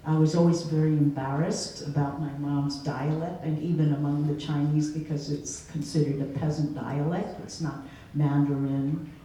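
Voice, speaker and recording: A woman's voice: low voice, flat delivery, moderate pace, dark tone. A woman keynote speaker answering an audience question in a reflective, conversational way. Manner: reflective, conversational, storytelling with laughs. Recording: echoey hall from far off, faint noise.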